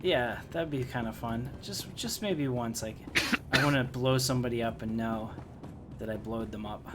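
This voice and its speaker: A man's voice: medium pitch, normal speed. A man doing casual, joking, rambling live commentary while playing a video game. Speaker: game streamer. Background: video game music.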